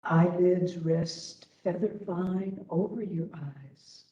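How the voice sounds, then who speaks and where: Female meditation instructor, very slow, long pauses, soft-edged mellow tone, echoing room. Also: lowish voice, dull audio. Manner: gentle, unhurried, long pauses between phrases. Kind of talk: guided meditation. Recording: roomy, distant webinar audio.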